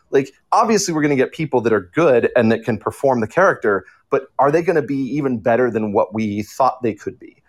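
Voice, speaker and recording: A man talking casually as a writer on a discussion panel. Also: mid-range voice. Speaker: audio drama writer and panelist.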